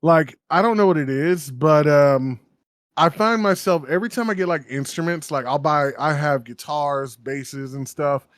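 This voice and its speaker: A man's voice: fairly high pitch, moderate pace, dry recording, studio quality. A man co-hosting a podcast, rambling through stories with lots of fillers and swearing. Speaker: podcast co-host. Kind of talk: casual chat.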